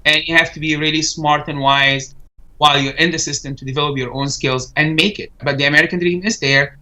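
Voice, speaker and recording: A man from Egypt speaking as a radio guest, his voice bright and a little high. He answers earnestly, stopping often on fillers as he works through his thoughts. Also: fairly even intonation, rough recording. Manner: earnest, halting, many fillers. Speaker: studio guest. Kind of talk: interview answers.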